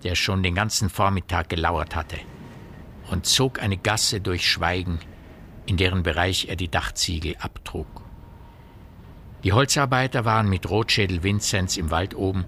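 Low-voiced male radio-play narrator. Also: fairly bright tone, a little hiss or hum. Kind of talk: scripted literary narration for radio drama.

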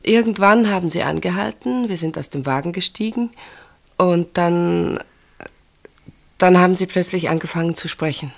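An actress playing a reserved, tender woman in an intimate radio play, speaking in a gentle, measured, earnest way. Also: lowish voice, vocal fry. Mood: tender, nostalgic. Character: reserved, tender woman named Marianne, quietly devoted.

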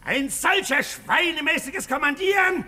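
Raging colonel for radio play: very high, piercing, sharp shout, barking orders and abuse. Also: male voice, animated, measured pace, heavily acted. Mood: furious, barking. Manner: bellowing, abusive, clipped military commands. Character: furious cavalry colonel, barking commanding officer. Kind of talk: radio drama acting.

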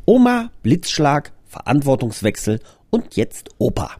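A man's voice: medium pitch, very animated, a little slow, a little mellow, husky, no room sound, studio quality. A man presenting his own audio drama in a scripted but conversational trailer, explaining things earnestly.

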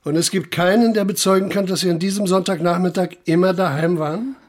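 An actor playing a persistent police inspector in a radio play, questioning in a measured, formal way. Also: male voice, sits high, steady tone. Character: probing male police inspector investigating a murder. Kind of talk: German radio play acting.

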